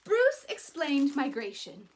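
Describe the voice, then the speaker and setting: A woman in her thirties reading a children's picture book aloud in an animated, deliberate way with dramatic emphasis. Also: sits high. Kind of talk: expressive children's story reading.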